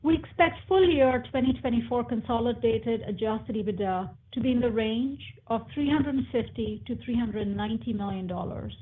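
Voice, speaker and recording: Higher-voiced female executive, telephone sound. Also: moderate pace, hardly any pauses. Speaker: company finance executive. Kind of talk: scripted financial guidance reading. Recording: narrow phone-like conference call line.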